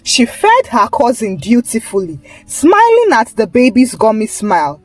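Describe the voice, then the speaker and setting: A woman's voice: on the high side, wildly swooping pitch, a little slow. A woman storyteller reading a scripted folktale narration.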